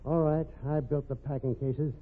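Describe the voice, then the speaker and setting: A man's voice: a little high, steady tone, normal speed, a little hiss or hum, close to an everyday voice. An actor playing a formal, coolly calculating businessman murderer with precise phrasing, in vintage radio mystery style. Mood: cold, resigned. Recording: tinny, narrow-band old radio recording.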